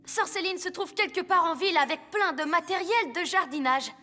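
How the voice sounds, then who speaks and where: A woman voicing an earnest, helpful six-year-old boy superhero in an animated children's series, speaking in a decisive manner. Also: very high-pitched, moderate pace, faint music behind. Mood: thoughtful.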